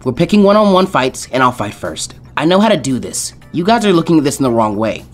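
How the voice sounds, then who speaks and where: A man's voice: medium pitch, big pitch swings, fast, soft background music, close to an everyday voice. A man voicing a confident, bossy teenage ninja girl in a teasing, emphatic, sweet tone for a fan fiction reading.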